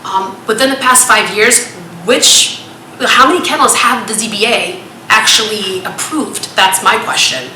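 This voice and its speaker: Woman resident at a public hearing: loud, bright, rambling and insistent, in an echoey meeting hall. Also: mid-range voice, measured pace. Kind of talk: public comment at a town meeting. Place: town hall meeting room. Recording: echoey meeting room with light noise.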